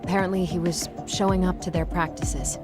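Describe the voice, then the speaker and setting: An actress playing a stern, guarded schoolteacher in a video game, curt and reserved. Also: female voice, on the low side, steady tone, fairly quick, over music, close to an everyday voice. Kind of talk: video game dramatic acting.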